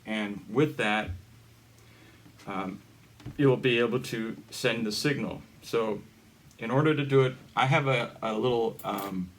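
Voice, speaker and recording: A man presenting a hobby gear tutorial in a casual, unscripted way, with halting, rambling explanations. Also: mid-range voice. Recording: noticeable background noise with clicks.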